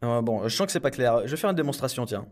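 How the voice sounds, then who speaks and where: Guy doing a muddled henchman clone, average pitch, rambles and hesitates, gets fed up easy, comedy audio play. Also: expressive, fast talker, background noise, lightly acted. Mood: muddled, hesitant. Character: inarticulate, easily exasperated clone henchman doing inventory.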